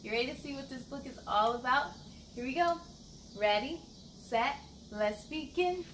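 A woman in her thirties reading aloud to children as a storytime host, with an encouraging, animated manner and simple phrasing. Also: high voice, normal speed, a little hiss or hum. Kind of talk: children's read-aloud, addressing young listeners.

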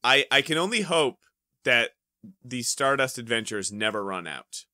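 A man's voice: medium pitch, lively intonation, moderate pace, sharp tone, dry recording, studio quality. A man in his thirties or forties bantering casually and comically as a podcast co-host. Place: book-lined room at home, video call.

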